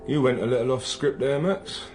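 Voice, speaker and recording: A man's voice: medium pitch, brisk, a little mellow, soft background music, close to an everyday voice. A man, an actor playing an easygoing, pragmatic junior detective in a radio drama, casual, wry and matter-of-fact. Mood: mildly put out. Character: easygoing, pragmatic male junior detective, mildly exasperated partner. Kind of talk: naturalistic radio drama acting.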